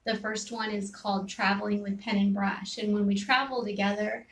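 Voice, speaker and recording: A woman's voice: mid-range voice, little pitch movement, moderate pace, poor audio. A woman in her forties, a gallery administrator, chatting easily in an interview inside an art gallery, recorded on a phone camera. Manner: chatty, warm storytelling, reads her poetry. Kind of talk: casual interview chat.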